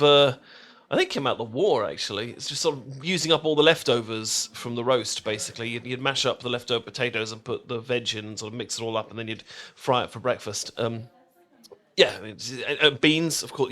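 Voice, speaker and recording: An affectionate English boyfriend voiced by a man for a romantic roleplay audio. He has a medium-pitched British voice and chats eagerly, stammering and doubling back on himself. He comes across as bashful, fond and very keen on his tea. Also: bright, forceful tone, natural voice. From England. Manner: hesitant, stammering, conversational, enthusiastic.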